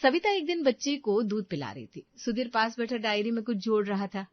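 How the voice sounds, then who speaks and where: A woman narrating a moral story dramatically, in deliberate short phrases. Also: a little high, expressive, no room sound, highs cut off.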